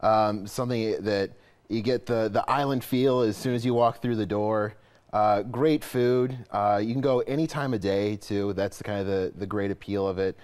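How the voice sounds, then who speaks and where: Man guest reviewer, low voice, laid-back. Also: moderate pace, darkish tone, clear, resonant tone. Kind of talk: casual chat.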